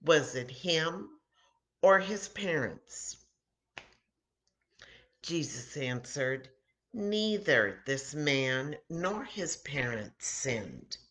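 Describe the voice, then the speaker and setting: An American woman in her sixties reads a story for young children. Her voice is low and takes its time, swinging widely in pitch as she dramatizes the tale. The delivery is deliberate and expressive, like a story time host acting out each line of dialogue. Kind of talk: expressive read-aloud of a children's Bible story. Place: home kitchen, indoors. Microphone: webcam or laptop mic at arm's length.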